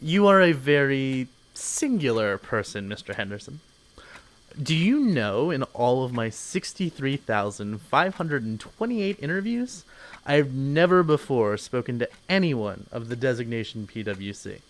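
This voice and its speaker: An actor playing a pompous, officious corporate boss in an audio drama, condescending and easily offended. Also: male voice, mid-range voice, lively intonation, unhurried, natural voice, low-quality recording.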